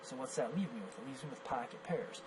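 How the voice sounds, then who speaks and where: A man with a hoarse, worn-out voice talks through poker hands, judging his own play and his opponent's as he goes. His pitch sits in the middle range, and a clear hum of background noise runs under the recording. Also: expressive, fast talker. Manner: analytical, self-critical, thinks aloud with fillers. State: hoarse, lost voice from coughing. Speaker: poker coach reviewing his own match. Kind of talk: screen-recorded hand review commentary.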